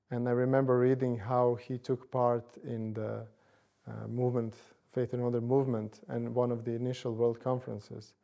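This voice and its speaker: A man in his forties from Bosnia and Herzegovina, speaking, answers interview questions in a measured way, with frequent fillers and hedges. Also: medium pitch, vocal fry, mellow. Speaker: interviewee, church commission director.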